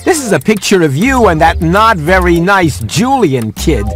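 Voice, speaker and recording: A streetwise animal sidekick from a video game, high-voiced and wry. The delivery is slangy and grumbling, swinging lively in pitch, with music behind it. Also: male voice, normal speed. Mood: wry, instructive. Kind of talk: scripted game cutscene dialogue.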